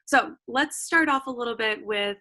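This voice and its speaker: A woman's voice: a little high, moderate pace, pushed and bright. A woman hosting a travel show interview over a video call, upbeat and eager.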